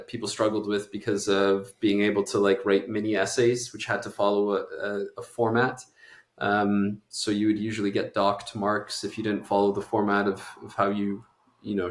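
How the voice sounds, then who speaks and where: Low-voiced man in an echoey room. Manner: conversational, explains steps at length. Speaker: doctor, interview guest. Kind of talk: casual interview answers.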